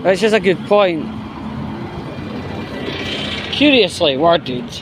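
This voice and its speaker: Higher-pitched man ranting over background noise. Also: sing-song, slow pace. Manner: sweary, exasperated, opinionated. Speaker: YouTuber. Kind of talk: casual commentary vlog.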